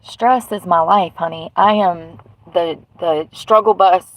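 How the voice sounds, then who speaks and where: A woman's voice: fairly low pitch, measured pace, poor audio. A woman with a stuffy nose, rambling bluntly and swearing casually as she hosts a live stream from inside her truck. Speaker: livestream host. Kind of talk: casual livestream chat.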